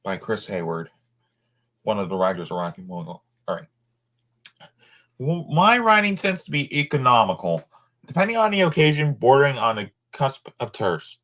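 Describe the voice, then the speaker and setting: A man reading a nonfiction book aloud informally, sometimes halting over words and adding asides. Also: mid-range voice, big pitch swings, a bit bright.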